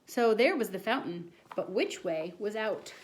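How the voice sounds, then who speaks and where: Woman as an earnest, excitable schoolboy. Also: mid-range voice, unhurried, slight background noise, lightly acted, low-quality recording. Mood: excited storytelling. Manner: insistent, dramatic storytelling. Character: earnest, excitable schoolboy telling a tall tale.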